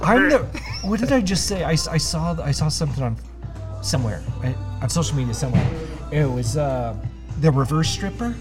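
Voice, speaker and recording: A man's voice: fairly high pitch, big pitch swings, fairly quick. A man hosting a casual talk show, joking and laughing often, with music playing behind. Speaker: host. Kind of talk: casual podcast chat.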